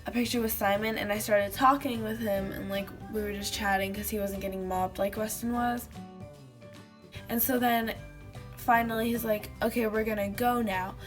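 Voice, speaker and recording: A teenage girl vlogging casually to a webcam in her bedroom, telling stories with lots of fillers and giggles, her voice slightly hoarse from having lost it. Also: medium pitch. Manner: chatty, giggly storytelling with many fillers. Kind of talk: casual chat to camera. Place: small bedroom indoors.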